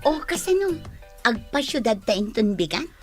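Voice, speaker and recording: A woman's voice: a little high, sing-song, moderate pace, over music. A woman playing an eager, agreeable village friend in an Ilocano radio drama, with an Ilocano accent.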